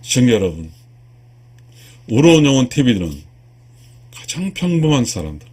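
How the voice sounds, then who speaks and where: A husky-voiced man in his sixties delivers an earnest spiritual lecture. His pitch swings widely as he stresses and repeats key phrases. He addresses his viewers directly, like a teacher. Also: big pitch swings, moderate pace, a little mellow. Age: 60s. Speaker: lecturer, video channel host.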